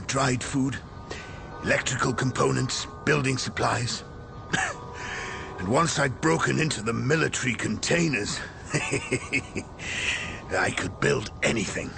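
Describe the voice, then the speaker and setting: Frail, creaky old spaceman. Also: medium pitch, huge pitch swings, over music, full character voice. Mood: wry. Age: older. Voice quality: creaky, breathy, coughing voice. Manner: halting, emotional. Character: frail old stranded spaceman, weary and excitable. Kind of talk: radio drama acting.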